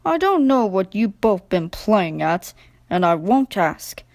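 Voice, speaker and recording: Storybook railway chief, male, authoritative yet kindly, read by a woman slowly and plainly. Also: mid-range voice, big pitch swings, darkish tone, no echo, clean studio sound. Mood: approving. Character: stern but kindly male railway boss praising engines.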